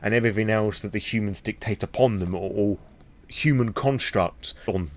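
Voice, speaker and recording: Low-pitched British man rambling frankly. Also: normal speed. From Britain. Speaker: vlogger on tabletop roleplaying lore. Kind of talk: impromptu opinionated vlog monologue.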